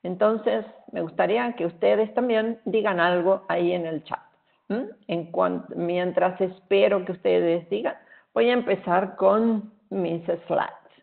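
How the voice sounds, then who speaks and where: A middle-aged Argentine woman with a Portuguese-tinged accent, giving a relaxed, chatty webinar talk with many fillers over a video call. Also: fairly low pitch, slow, vocal fry. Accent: Argentine Spanish, with Portuguese influence. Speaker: guest speaker. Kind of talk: webinar presentation. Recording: tinny phone-like online call audio.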